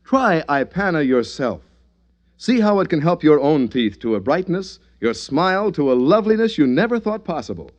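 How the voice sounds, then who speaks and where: A man's voice: on the high side, big pitch swings, normal speed. A male announcer giving a polished, persuasive scripted read in the manner of 1940s radio advertising. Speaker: commercial announcer. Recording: muffled old radio recording.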